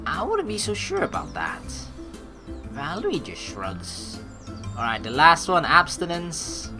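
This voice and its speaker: A young woman doing let's play commentary, reading game text aloud in an animated, playful, blunt and casual way. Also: low-pitched, measured pace, music in the background.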